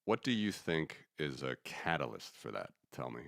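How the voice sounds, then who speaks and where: A man with an extremely deep voice talks through market ideas in a loose, rambling way, restarting phrases and giving blunt opinions. The sound is dry and close, with no room around it. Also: very animated, normal speed, gravelly, fairly bright tone, no room sound. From United States. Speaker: podcast host. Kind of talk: casual economics commentary.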